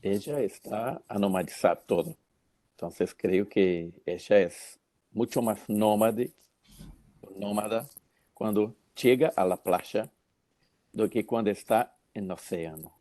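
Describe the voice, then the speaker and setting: A man with a very low, dark voice and a Brazilian Portuguese accent, commenting in an academic discussion. He talks slowly, with frequent fillers, searching for words in a language that is not his own. The sound is dry and close. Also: no room sound.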